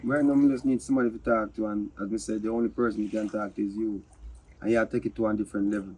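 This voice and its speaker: Man acting low, mellow, pleading Jamaican Rastaman. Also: fairly even intonation, rapid-fire, breathy edge, low-quality recording. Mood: earnest, pleading. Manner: pleading, repeats himself. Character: earnest, defensive Rastaman confessing to his lover.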